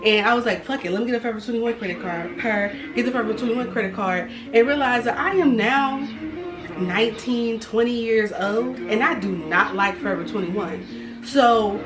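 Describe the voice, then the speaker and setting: A woman's voice: fairly high pitch, normal speed. A woman in her twenties talks candidly in a vlog, blunt and sassy, swearing freely. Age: 20s. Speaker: vlogger. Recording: roomy, mic at a distance, music behind.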